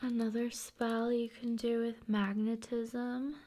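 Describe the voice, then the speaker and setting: A woman hosting an ASMR video, talking in an unhurried, hushed, conversational way that moves between soft speech and whispering. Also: fairly high pitch, little pitch movement.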